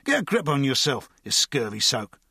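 A man voicing a gruff, impatient station guard, speaking curtly. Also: mid-range voice, big pitch swings, normal speed, pushed and bright, no room sound, clean studio sound, voice pushed up, full character voice.

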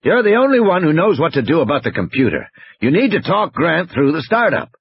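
A man voicing a gruff, injured game warden, blunt and urgent, in dramatized audiobook dialogue. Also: a little high, very animated, a little fast, no room sound, muffled recording, voice pushed up, exaggerated voice. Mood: blunt, exasperated.

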